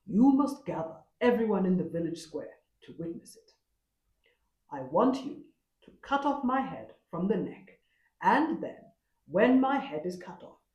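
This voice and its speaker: A woman's voice: mid-range voice, darkish tone, roomy sound, lo-fi sound. A woman voicing a proud, gullible male leopard with a grand, emphatic manner in a folktale. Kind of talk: folktale storytelling.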